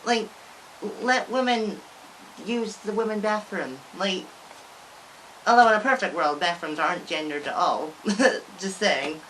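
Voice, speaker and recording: A woman's voice: mid-range voice, measured pace, long pauses, a bit bright, slight background noise, rough recording. Young woman vlogging, rambling and giggly. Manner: rambling, many likes and restarts, giggles often. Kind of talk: casual talk to camera.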